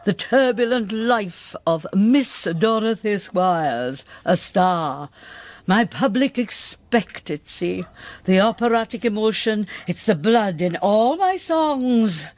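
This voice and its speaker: An actress playing an ageing Welsh singing diva, boozy, imperious and melodramatic, declaiming grandly in a radio play. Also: female voice, mid-range voice, animated, slow, a little mellow. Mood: grand, self-satisfied. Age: older. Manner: grandiose, haughty, dramatic declaiming.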